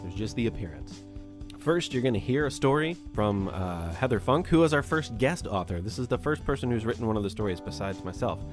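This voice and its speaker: Guy with a lowish voice doing a casual show intro, guitar music going pretty loud behind him. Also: animated, brisk. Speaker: podcast host. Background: plucked guitar music.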